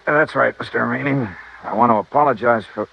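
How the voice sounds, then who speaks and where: Hard-boiled radio detective, muffled vintage sound. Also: male voice, medium pitch, fast talker, gravelly. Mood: suspicious, hard. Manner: clipped, hard-boiled, forceful. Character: tough, driven male freelance insurance investigator. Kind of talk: 1950s radio detective acting with first-person narration.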